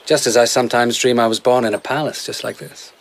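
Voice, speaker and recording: A man's voice: mid-range voice, steady tone, fast talker, gravelly, close to an everyday voice. A man in a radio drama playing a dreamy, hard-drinking vagabond who tells lyrical, rambling tales as a royal favourite. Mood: wistful, teasing.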